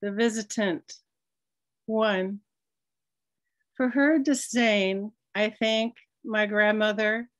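Older female poet, unhurried deliberate reading, dry un-roomy webcam audio. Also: medium pitch, fairly even intonation. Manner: slow, measured recitation. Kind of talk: reading her own poetry. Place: home room with curtains. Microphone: laptop webcam on video call.

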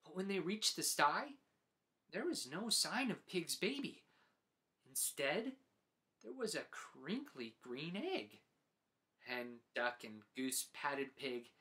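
A man in his forties narrating a children's storybook as host, with deliberate, dramatic phrasing. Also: on the high side, big pitch swings, bright, forceful tone. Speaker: host reading picture books aloud.

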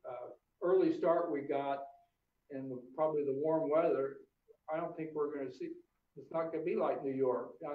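An older male doctor talks in a relaxed, optimistic way, with a slightly high voice and plenty of uhs. He sounds far from the mic in an echoey room, and the audio is thin and phone-like. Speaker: family doctor. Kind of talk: casual video interview.

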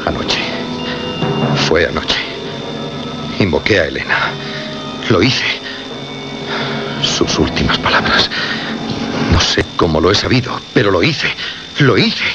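An actor with a Castilian Spanish accent playing an obsessed, unnerved radio presenter in a suspense drama, solemn and insistent. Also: male voice, sits low, sing-song, takes it slowly, pauses often, loud music behind the voice, exaggerated voice. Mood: feverish insistence. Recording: muffled, noisy radio drama recording with hum.

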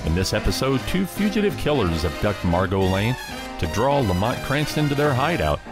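A man's voice: medium pitch, big pitch swings, moderate pace, music in the background. A man hosting, reading a scripted podcast introduction. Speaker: host.

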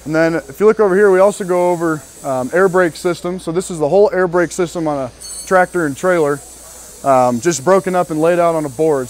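A young man from northern Colorado, a student casually showing visitors around a large diesel workshop, pausing often with ums and restarts. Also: on the high side, darkish tone. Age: young adult. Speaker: student giving a shop tour. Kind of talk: walking tour guide talk.

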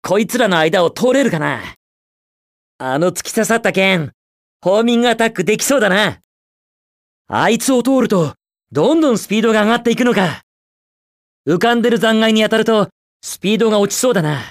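Cocky young hedgehog game hero, high lively voice, brash, carefree, slangy. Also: male voice, fairly quick, pauses often, dry recording, studio quality. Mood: alert, curious. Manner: cool, brash, slangy, with bits of English. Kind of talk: video game voice acting, short in-game remarks.

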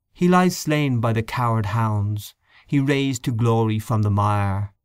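A man's voice: lowish voice, clear, resonant tone, no echo, studio quality, close to an everyday voice. A man reciting as a solemn poet. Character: solemn Irish loyalist reciting a mournful poem.

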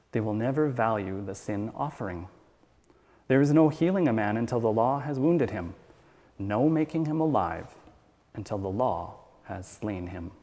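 A middle-aged man reading a written sermon aloud as a church elder, in a measured, earnest manner. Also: medium pitch, darkish tone. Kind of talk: read sermon. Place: church sanctuary at a wooden pulpit, indoors. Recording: reverberant church hall.

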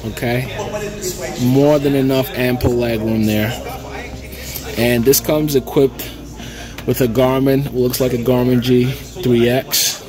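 A man giving a casual, relaxed walkaround tour as a vlogger, filling pauses with "okay" and "uh", recorded on a handheld phone in a big indoor hangar. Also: medium pitch. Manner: relaxed, chatty, lots of "okay" and "uh". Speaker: aviation vlogger. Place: large aircraft hangar at an expo, indoors. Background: music and crowd chatter.

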